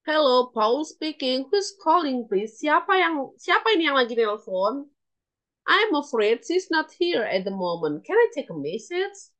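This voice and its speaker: A woman's voice: high-pitched, big pitch swings, a little slow, fairly bright tone. A woman with an Indonesian accent teaching an online English class as lecturer, instructively repeating and translating example phrases.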